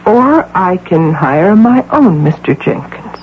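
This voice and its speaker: A woman's voice: on the low side, big pitch swings, takes it slowly. An American actress playing an imperious, middle-aged executive obsessed with motherhood, intense and theatrical in radio drama style. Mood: wistful resolve. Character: imperious, obsessive executive woman, desperately devoted imaginary mother. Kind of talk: 1980 radio drama acting. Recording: tinny, hissy old radio broadcast with hum.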